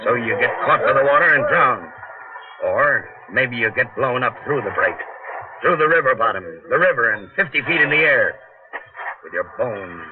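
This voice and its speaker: An actor in a 1940s radio drama playing a cautious, middle-aged veteran tunnel digger and father, gruff and blunt, talking in rough working-class slang. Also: mid-range voice, natural voice. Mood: grim, instructive. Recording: muffled, noisy 1940s radio broadcast.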